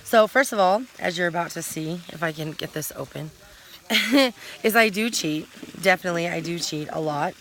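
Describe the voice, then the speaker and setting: A talkative woman in her thirties rambles through a casual vlog with a bright, forceful voice. She recorded it outdoors at a campsite, and a faint hiss of outdoor sound sits behind her. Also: lowish voice, moderate pace. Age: 30s. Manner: rambling, talkative, self-correcting. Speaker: vlogger.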